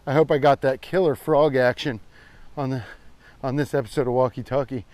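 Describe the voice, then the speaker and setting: A man's voice: medium pitch, steady tone, fairly quick, a little mellow, no room sound. An American man, around his forties, chatting casually and irreverently as he hosts a rambling comedic walking vlog, swearing freely. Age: 40s. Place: outdoors, walking on a trail.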